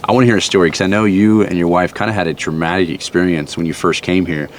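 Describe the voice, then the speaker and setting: Chatty host guy, super quick talker, really deep voice. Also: fairly even intonation, slight background noise. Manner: eager, chatty, rambling. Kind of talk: casual interview.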